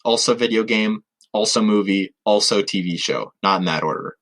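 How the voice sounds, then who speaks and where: A man co-hosting a podcast, trading informal, slangy commentary and quick reactions in relaxed banter. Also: on the low side. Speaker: podcast co-host.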